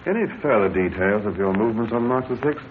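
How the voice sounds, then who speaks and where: An actor playing a methodical police inspector with calm, polite questioning, in a tinny old radio drama recording. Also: male voice, fairly low pitch, fast talker, faint music behind, natural voice. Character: methodical male police inspector, middle-aged.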